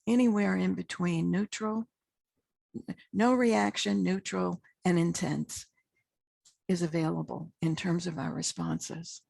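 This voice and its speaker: Slow, gravelly woman guiding meditation. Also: steady tone, a little mellow, dry recording. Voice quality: husky, gravelly low voice. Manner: slow, measured, with long pauses between short phrases. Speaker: guided meditation teacher. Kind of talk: guided meditation, unscripted spiritual talk.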